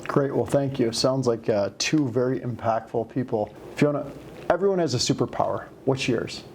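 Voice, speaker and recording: Man with a gravelly, mid-pitched voice. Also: normal speed, darkish tone, a little hiss or hum. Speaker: company leader interviewing a colleague. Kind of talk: corporate video interview.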